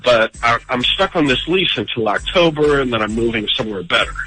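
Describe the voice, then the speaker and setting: A man's voice: mid-range voice, lively intonation, brisk, pushed and bright, poor audio. A man calling in to a radio show, telling a personal story casually in a hesitant, self-deprecating way. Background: background music.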